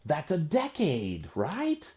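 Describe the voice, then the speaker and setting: A man's voice: fairly high pitch, huge pitch swings, slow, a little mellow. A man in his forties teaching an English grammar lesson, asking and answering his own questions and drawing out key words. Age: 40s. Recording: dull lo-fi recording.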